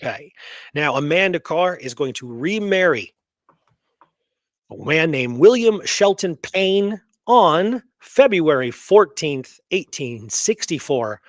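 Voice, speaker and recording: A man's voice: a little high, lively intonation, takes it slowly, no echo. Man presenting research in a dry close recording. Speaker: host. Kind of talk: casual genealogy research presentation.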